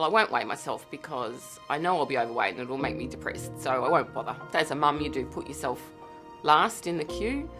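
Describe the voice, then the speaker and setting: A woman's voice: deep voice, fairly bright tone. A middle-aged Australian woman telling her own story in a personal testimonial. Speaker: fitness challenge participant. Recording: clear background music.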